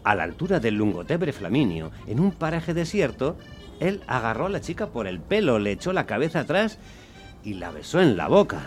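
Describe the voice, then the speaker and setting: Man acting an unlucky taxi driver, exasperated, wry, very lively intonation, radio play narration, faint music. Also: medium pitch, normal speed, natural voice. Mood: resigned, wry. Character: exasperated, unlucky Roman taxi driver telling his story. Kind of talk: dramatised first-person radio narration.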